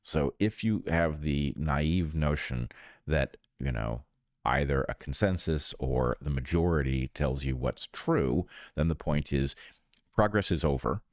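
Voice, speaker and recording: A man co-hosting an analytical podcast discussion, arguing deliberately with emphatic phrasing. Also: very deep voice, dry recording, clean studio sound. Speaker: podcast co-host, biologist.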